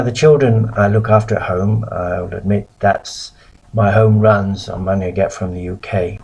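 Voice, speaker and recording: A middle-aged man from the UK giving a recorded lecture as a missionary, measured and pausing often. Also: on the low side, creaky, darkish tone, breathy edge, rough recording. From United Kingdom.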